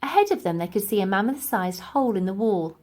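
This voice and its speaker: Animated woman reading a children's story. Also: mid-range voice, fast. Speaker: storybook reader. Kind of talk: expressive children's story narration.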